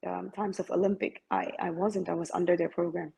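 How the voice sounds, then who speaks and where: A woman's voice: fairly low pitch, little pitch movement, brisk, vocal fry, mellow. A middle-aged woman from Malaysia, a masters swimmer talking as an interview guest, hesitant with many fillers and restarts. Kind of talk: interview conversation.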